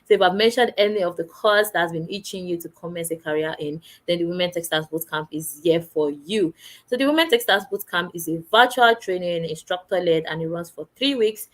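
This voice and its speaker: A woman explaining a training program, listing its courses one by one. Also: on the low side. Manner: steady, lists items one by one. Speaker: program presenter. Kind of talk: informational explanation.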